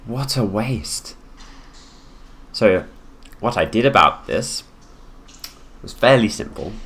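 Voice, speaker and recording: A man voicing a haughty, possessive prince in an improvised ASMR roleplay, speaking to the listener with condescension and deliberate pauses. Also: lowish voice, lively intonation, fairly bright tone, breathy edge, voice pushed up, exaggerated voice. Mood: condescending admiration. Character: haughty possessive young prince, heir to the throne, coolly menacing.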